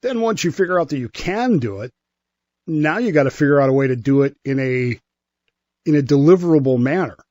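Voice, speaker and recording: A man's voice: a little high, expressive, a little fast, a little mellow, no room sound, highs cut off. A man explaining history in an emphatic, conversational way, as a guest expert on a radio show. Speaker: former nuclear submarine serviceman.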